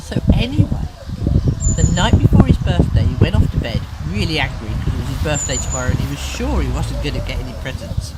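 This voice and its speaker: A woman telling an improvised bedtime story to a child, chatty and expressive, with asides to the listener. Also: rumbling low, fairly quick, heavy background noise. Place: in a tent.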